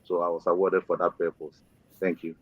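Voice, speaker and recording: A man from Ghana introducing himself on a panel discussion, pausing often with fillers. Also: low voice, level tone, no echo. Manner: hesitant, many fillers. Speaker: panelist, chemical engineer. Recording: dry, dull lo-fi sound.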